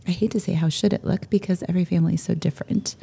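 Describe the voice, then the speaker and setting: A woman with a raspy, husky voice co-hosts a podcast in relaxed conversation. Her tone is low and mellow with a gravelly grain. She talks enthusiastically and tends to double up her words. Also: very fast. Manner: enthusiastic, repeats herself, warm and gushing. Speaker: podcast co-host. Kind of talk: casual chat.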